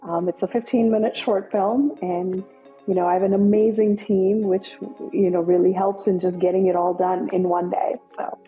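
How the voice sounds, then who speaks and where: A woman's voice: medium pitch, steady tone, normal speed, husky, narrow, tinny audio, soft background music. A woman film director from Nepal, speaking as an interview guest in an upbeat, enthusiastic way with many fillers. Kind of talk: casual interview.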